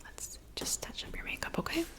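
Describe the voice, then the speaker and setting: A woman playing a kindly, doting witch in an ASMR roleplay, speaking in a soft whisper with gentle, reassuring attention. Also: fairly quick, close to an everyday voice. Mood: soothing, reassuring. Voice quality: soft whisper with some creaky murmur. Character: kindly cabin witch mixing potions, doting and soothing. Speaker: ASMR performer.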